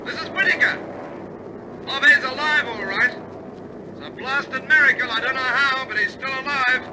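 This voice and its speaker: Young bomber crewman, high and urgent, over clear aircraft engine noise, vintage radio war drama. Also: moderate pace. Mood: urgent relief. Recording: loud aircraft engine drone behind voice.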